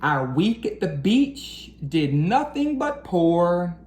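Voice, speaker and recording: A woman with a low voice reads a picture book aloud to children at an unhurried pace. Her delivery is expressive and lilting, leaning into the rhymes. The room adds a noticeable echo. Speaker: educator reading aloud to children. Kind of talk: storybook read-aloud for children. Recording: roomy echo with faint background noise.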